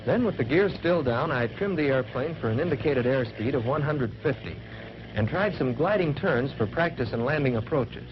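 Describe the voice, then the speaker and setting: A man's voice: mid-range voice, animated, normal speed, close to an everyday voice. A man playing a young American fighter pilot, narrating a 1940s military training film in a plain-spoken, folksy first-person way. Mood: matter-of-fact, confident. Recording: dull, very noisy 1940s film soundtrack.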